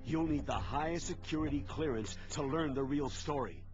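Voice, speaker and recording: A man's voice: a little high, moderate pace, close to an everyday voice. A man playing a firm, defensive colonel commanding a mission in a video game, speaking with emphatic authority. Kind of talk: dramatic video game cutscene acting. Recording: dull sound under loud music.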